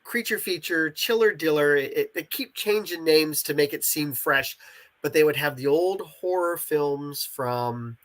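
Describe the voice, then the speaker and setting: Bright-voiced middle-aged man. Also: on the high side, measured pace. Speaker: interview guest, audio drama creator. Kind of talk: casual reminiscing chat.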